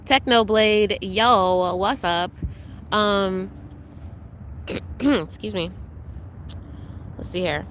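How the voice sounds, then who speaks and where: A woman's voice: medium pitch, drawn-out words, long pauses, a bit bright, slight background noise. Chatty woman streamer, slightly congested. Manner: chatty, greets viewers by name, frequent ums. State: congested, recovering from illness. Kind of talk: casual live-stream chat.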